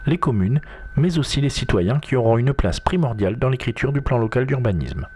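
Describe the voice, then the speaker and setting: A man's voice: medium pitch, sing-song, fairly quick, mellow, a little hiss or hum. A man reading a scripted institutional narration in a formal, fluent, presentational way.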